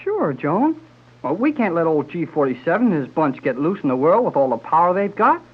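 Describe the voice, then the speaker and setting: A man's voice: a little high, very animated, brisk, husky. An actor playing a brash, slangy American teenage boy adventurer in a 1930s radio serial, blunt and eager. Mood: impatient. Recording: muffled, narrow-band old radio recording.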